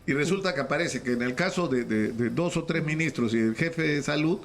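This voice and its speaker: A man's voice: a little high, a little hiss or hum. A man with a Peruvian accent interviewing on radio, stumbling and repeating words as he builds his argument. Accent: Peruvian Spanish. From Peru. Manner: halting, repeats words, argues his point.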